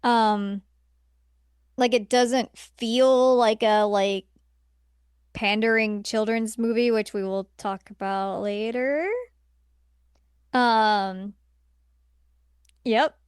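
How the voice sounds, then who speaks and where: Smooth-voiced woman chatting on a podcast. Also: a little high, fairly even intonation, extremely slow, pauses often, no echo, studio quality. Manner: informal, agreeable, frequent "like". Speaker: podcast co-host. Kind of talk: casual chat reviewing movies.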